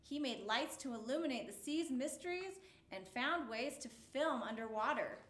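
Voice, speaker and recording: A woman reading a picture book aloud as a storyteller for children, with measured, expressive phrasing. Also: high voice, reverberant.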